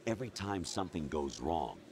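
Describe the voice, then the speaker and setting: An actor playing a cold, condescending artificial intelligence in a video game, lecturing in a measured way. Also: male voice, very animated, background noise, exaggerated voice. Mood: scornful. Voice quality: very deep, gravelly. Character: cold condescending male AI posing as humanity's ruler. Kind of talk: scripted video game acting. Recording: loud music under the voice. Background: loud game soundtrack music.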